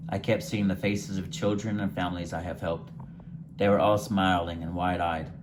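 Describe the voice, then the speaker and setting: A man with a very low, deep voice reads his own short story in a soft, unhurried manner, stumbling now and then over a word. A steady hiss of background noise runs under his reading. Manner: unhurried, gentle storytelling read from a page with occasional stumbles. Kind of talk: first-person short story read aloud.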